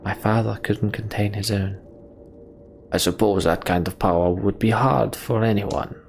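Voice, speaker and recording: A man voicing a troubled, remorseful sorcerer in a game, with a halting, confessional manner. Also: on the low side, fairly even intonation, vocal fry. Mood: remorseful, burdened. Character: troubled male sorcerer, remorseful and burdened by power. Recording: background noise.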